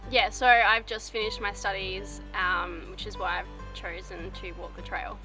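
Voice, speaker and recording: A woman in her early twenties gives a casual interview in a mid-pitched voice. Music is clearly audible behind her. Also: flat delivery, measured pace, poor audio. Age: 20s. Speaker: hiker interviewed for a documentary.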